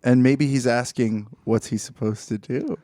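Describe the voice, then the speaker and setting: Man, relaxed warm tone, dry sound, wry, musing, casual podcast banter. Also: medium pitch, moderate pace, no room sound. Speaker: podcast co-host. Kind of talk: casual comedic chat.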